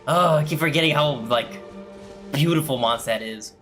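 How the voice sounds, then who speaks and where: A man's voice: a little high, moderate pace, fairly bright tone. A man streaming a game, giving casual, laid-back, rambling commentary as he plays. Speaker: gaming streamer. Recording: faint game music behind.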